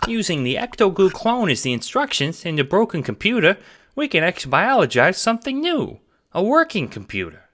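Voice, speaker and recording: A man voicing a giddy, childlike cat spirit sidekick with a playful, excitable manner in an audio drama. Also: high-pitched, moderate pace, voice pushed up, full character voice. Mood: excited, explaining. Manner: playful, excitable, hesitant explaining.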